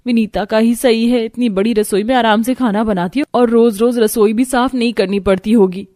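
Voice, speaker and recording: Woman as harried high-voiced poor housewife. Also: fairly even intonation, normal speed, warm tone, dry recording, studio quality, close to an everyday voice. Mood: grumbling. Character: poor elder daughter-in-law, harried, grumbling housewife. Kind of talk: cartoon family story dialogue. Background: background music.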